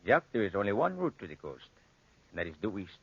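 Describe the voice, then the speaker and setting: An actor playing a brisk, determined army lieutenant leading a search in a 1940s radio drama. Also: male voice, on the low side, sing-song, slightly rough. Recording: dull, muffled 1940s radio recording.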